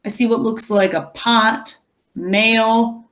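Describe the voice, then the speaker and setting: A woman reading a picture book aloud as a teacher, expressively, pausing to explain and think aloud for young children. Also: on the high side, steady tone, a bit bright.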